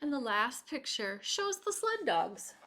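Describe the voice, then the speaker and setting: A woman's voice: high voice, big pitch swings, a little slow, bright, forceful tone. A woman reading a picture book aloud to children, with chatty explanations and questions for the young listeners. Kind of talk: read-aloud storytelling with chatty asides.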